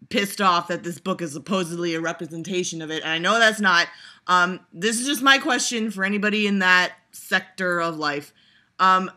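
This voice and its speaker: A woman's voice: lowish voice, pushed and bright. Swearing, sarcastic young woman vlogger. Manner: profane, sarcastic, rambling, frequent laughter and fillers. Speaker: vlogger reacting to a trailer. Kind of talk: casual reaction commentary.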